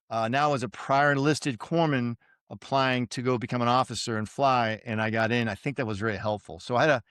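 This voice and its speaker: Boston man in his fifties, smooth and dry-sounding, relaxed storyteller full of ums and asides. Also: medium pitch, no room sound. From suburban Boston, USA. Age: 50s. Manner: easygoing, self-deprecating, many fillers. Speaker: podcast guest, retired Navy pilot. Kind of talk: casual interview storytelling.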